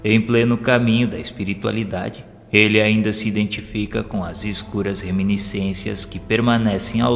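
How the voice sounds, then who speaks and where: Low male voice, Brazilian accent, formal audiobook narration, measured delivery, music underneath. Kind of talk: scripted audio novel narration. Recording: long hall reverb under music.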